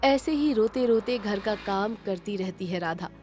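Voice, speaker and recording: A woman's voice: mid-range voice, lively intonation, measured pace, a little mellow, soft background music. A woman narrator reading a scripted moral story.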